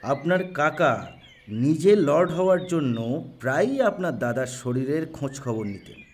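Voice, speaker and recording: A chatty, excitable lawyer. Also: male voice, fairly high pitch, unhurried, husky. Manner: loud, cheerful, talkative. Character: excitable middle-aged male lawyer bearing news. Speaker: voice actor in a Bengali audio story. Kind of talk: audio drama acting.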